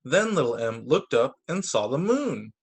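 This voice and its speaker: A man reading picture books aloud to children as a teacher, expressive, with brief casual asides. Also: medium pitch, unhurried, slightly rough. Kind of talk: animated children's story reading. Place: remote video story time.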